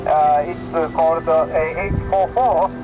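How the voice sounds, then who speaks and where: A man's voice: on the high side, steady tone, measured pace, over music. A Japanese man speaking hesitant, non-fluent English with a Japanese accent, as an amateur radio operator on air. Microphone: heard through a radio receiver. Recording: noisy ham radio transmission.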